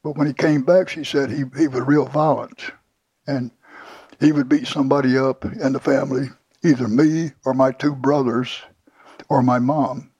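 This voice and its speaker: A man's voice: mid-range voice, a little mellow, slightly rough, dry recording, dull audio. An older man telling his life story in an interview, plainly and with many restarts. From Houston, Texas. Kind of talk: oral history interview.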